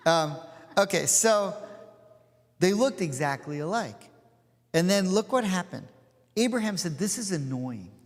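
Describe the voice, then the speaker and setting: A man's voice: high-pitched, very animated, slow, long pauses. A man in his forties leading an informal study class, chatty and digressive, with plenty of asides and fillers. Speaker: rabbi teaching a class. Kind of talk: informal study-session teaching. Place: synagogue hall. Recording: hall with long reverb.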